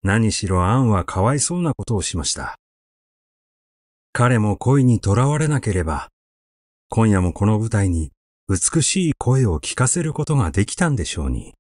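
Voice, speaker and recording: A man's voice: on the low side, sing-song, moderate pace, a little mellow, no echo, clean studio sound. A man voicing a male acquaintance who recounts an eerie legend in a reflective, explanatory way for an audiobook. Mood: reflective, sympathetic.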